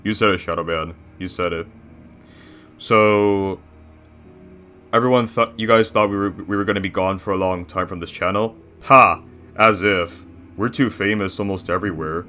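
A man's voice: sits low, moderate pace, sharp tone. A man voicing a boastful, bossy cartoon bear boss in rambling, self-satisfied improvised banter. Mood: smug, showy. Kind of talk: improvised character reaction banter.

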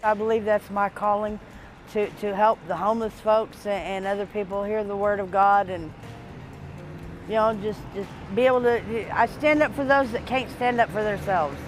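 An older woman telling her story plainly and loudly in an outdoor interview, often repeating words as she starts a thought. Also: mid-range voice, fairly even intonation, moderate pace, faint music behind, low-quality recording. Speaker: church volunteer. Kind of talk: personal testimony interview. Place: outdoors in front of a church.

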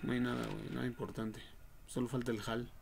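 Young mexican guy streaming a horror game, swears a lot, croaky voice, noisy home mic. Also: medium pitch, flat delivery, fast talker. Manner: profane, slangy, reactive. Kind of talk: live horror game commentary. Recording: noisy home livestream microphone.

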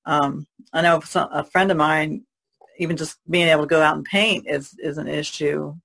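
A woman's voice: low-pitched, fairly even intonation, normal speed, gravelly, a bit bright. A woman speaking casually as a podcast panelist.

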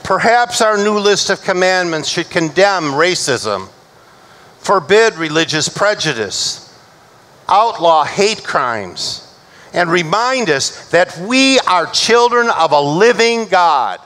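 Bright-voiced man preaching slowly from a pulpit. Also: sits high, very animated. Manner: measured, emphatic preaching, slowing for recited scripture. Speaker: pastor. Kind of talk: sermon. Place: church sanctuary, at a pulpit.

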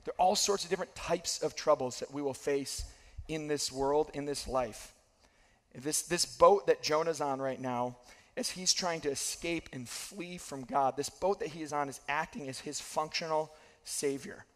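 A man in his thirties preaches from a large church stage, his fairly high voice worn a little hoarse. He speaks earnestly and drives points home by repeating them. The sound carries a long reverb from the hall around him. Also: normal speed. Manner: earnest, repeats key phrases for emphasis. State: losing his voice, slightly hoarse. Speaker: pastor. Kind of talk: sermon.

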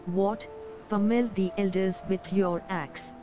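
A woman voicing a small, resolute young dwarf healer in a fantasy game, measured and earnest. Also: mid-range voice, fairly even intonation, music in the background, natural voice. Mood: firm. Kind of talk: dramatic video game dubbing.

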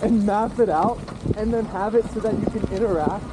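An American man with a high-pitched voice talks in an informal, rambling, enthusiastic style. He is outdoors on the move, and heavy wind and riding noise runs over everything he says. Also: fairly quick. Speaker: vlogger. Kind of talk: casual chat while riding. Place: outdoors on a wooded bike trail. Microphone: action camera mounted on the bike. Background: wind and bike rumble.

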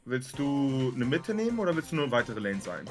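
A man's voice: medium pitch, soft background music. A man teammate chatting casually during a game, explaining tactics and plans. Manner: quick, lively, explains tactics. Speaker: gaming teammate. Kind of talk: casual gaming chat.

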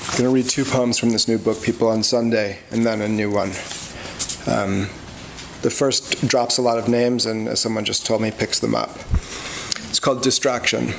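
A middle-aged man gives a live poetry reading in a medium-pitched voice. He reads from the page into a podium microphone in a lecture hall. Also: vocal fry, a little hiss or hum. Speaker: poet, faculty reader. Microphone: gooseneck podium microphone.

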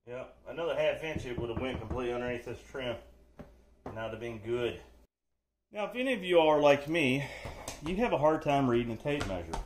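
A man's voice: mid-range voice, slight background noise. A man hosting a casual how-to video, talking in a folksy, joking, reassuring way while he works on a floor indoors, recorded on the camera mic. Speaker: DIY channel host. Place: indoors, room under renovation.

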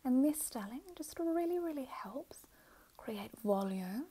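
A woman playing a doting, gushing mother in a soft, often whispered ASMR roleplay, full of soothing endearments. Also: sits high, expressive, a little slow, breathy edge, full character voice. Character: doting, gushing, over-emotional mother doing her child's makeup.

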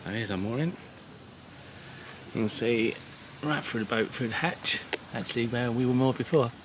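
A man's voice: medium pitch, moderate pace, noisy background. A man chatting casually and playfully as he narrates a vlog outdoors. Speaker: vlog host. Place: outdoors by a river and boat. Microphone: handheld camera, operator behind it.

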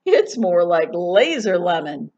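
A woman's voice: lowish voice, very animated, slow, a little mellow, muffled recording, heavily acted. A woman doing a spirited little girl who loves coloring. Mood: delighted.

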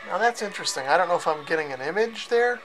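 Higher-pitched man, casual radio demo. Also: lively intonation, fast talker, music in the background. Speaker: radio reviewer. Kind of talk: casual hands-on demonstration commentary. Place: concrete-walled cellar. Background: shortwave radio noise.

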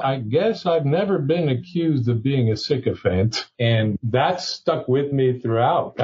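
Cuban-born legal exec, candid folksy talker, mid pitch, dull remote line. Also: male voice, a little mellow. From Cuba. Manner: candid, folksy, chuckles often, says "okay?". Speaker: chief legal officer, guest. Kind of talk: conversational interview.

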